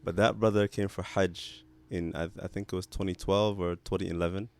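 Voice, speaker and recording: A man in his thirties from Canada, a podcast guest telling his story in a relaxed, hesitant way, restarting phrases and adding Arabic religious expressions. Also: sits low, a little fast, clean tone. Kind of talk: casual conversational interview.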